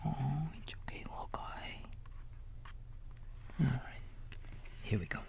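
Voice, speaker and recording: Man, ASMR babysitter roleplay, whispered, gravelly creak, soothing, casual rambling bedtime reading. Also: medium pitch, takes it slowly, darkish tone. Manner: soothing, rambling asides to a child listener.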